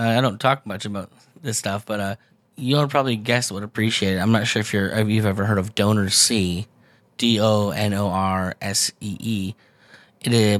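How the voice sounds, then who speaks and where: A man's voice: on the low side, a bit bright, no room sound. A man hosting a podcast, talking loosely and casually with a guest. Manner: loose, chatty, frequent fillers and restarts. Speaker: podcast host.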